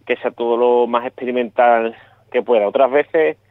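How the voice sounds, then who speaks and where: A man's voice: mid-range voice, steady tone, moderate pace, dry recording, narrow, tinny audio. A man from El Puerto de Santa María with an Andalusian accent, a painter chatting loosely in a radio interview, with many restarts and fillers. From El Puerto de Santa María, Spain.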